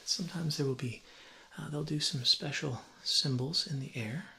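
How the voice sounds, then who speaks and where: A man, perhaps in his thirties, gently and deliberately explaining things as a teacher in a soft-spoken ASMR roleplay lesson. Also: fairly high pitch, vocal fry. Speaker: ASMR creator playing a teacher. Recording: roomy echo with faint ambient noise.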